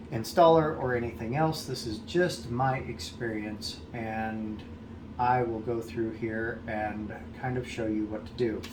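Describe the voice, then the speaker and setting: An American man giving a casual, plain-spoken how-to explanation in a methodical, measured way. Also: medium pitch. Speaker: amateur host of a radio repair video. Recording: echoey room, loud background noise.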